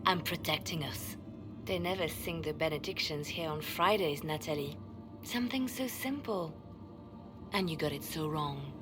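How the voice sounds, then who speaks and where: An actress playing a poised, devout and steely spymaster in a video game cutscene, speaking in a controlled, deliberate way. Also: female voice, deep voice, close to an everyday voice. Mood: cold, accusing. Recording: music behind the voice.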